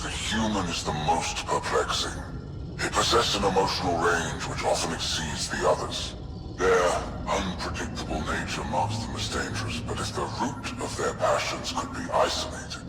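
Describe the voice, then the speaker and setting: A man playing a cold, imperious alien overlord in a sci-fi video game, speaking in a measured, grandiose, clinical and menacing way. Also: rumbling low, poor audio. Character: cold, imperious male alien overlord studying captive specimens. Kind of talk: dramatic villain monologue in a sci-fi video game. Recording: loud music under the voice.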